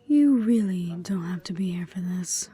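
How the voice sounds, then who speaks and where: A woman playing a wry, affectionate ex-hunter in an intimate audio roleplay, speaking softly and teasingly, with breathy asides. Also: fairly low pitch, expressive, normal speed. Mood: tense, sarcastic. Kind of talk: intimate one-sided audio roleplay acting.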